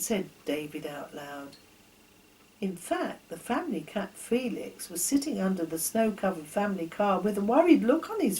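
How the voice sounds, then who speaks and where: A woman's voice: medium pitch, a little mellow, a little hiss or hum, low-quality recording. An older woman reading her own children's story as a narrator, expressively, posing questions to the listener.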